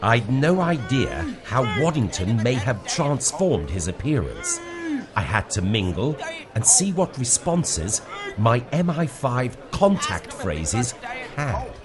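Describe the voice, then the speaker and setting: A man's voice: medium pitch, very animated, slow, a crowd in the background. A man playing a bumbling, deferential British secret agent in a comic spy radio play, in an earnest, mock-serious way. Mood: mock-serious narrating. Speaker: narrator and lead actor.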